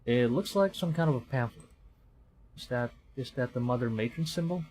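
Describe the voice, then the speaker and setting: A man's voice: mid-range voice, normal speed, darkish tone, breathy edge. A man from the Philippines, a streamer reading a horror visual novel aloud in a dramatic storytelling manner, with commentary.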